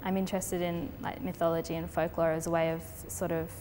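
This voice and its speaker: A young Colombian-born woman, explaining her artwork in a steady, thoughtful way with frequent fillers. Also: fairly low pitch, a little fast. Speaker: artist. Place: gallery space. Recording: long reverb with faint background noise.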